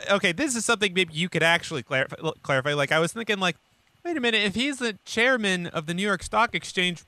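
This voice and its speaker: A man with a fairly high, bright voice chats as a podcast co-host in a dry, close recording. His pitch moves around a lot as he loosely thinks aloud in casual banter. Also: no room sound.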